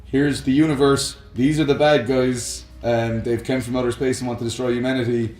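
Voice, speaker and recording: A man of average pitch talks as a co-host in an echoey room, with faint music behind him. He explains his views casually and at length, wandering from point to point with enthusiasm. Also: level tone, normal speed, darkish tone. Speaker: co-host of a film and games discussion show. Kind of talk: casual banter and opinionated chat. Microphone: close microphone on a stand.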